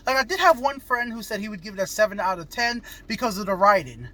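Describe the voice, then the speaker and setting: A man's voice: sits high, expressive, quick pace, bright, forceful tone. A man giving a casual, enthusiastic, rambling movie review as a video reviewer. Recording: noisy ambience with vehicle sounds. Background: vehicle and ambient noise.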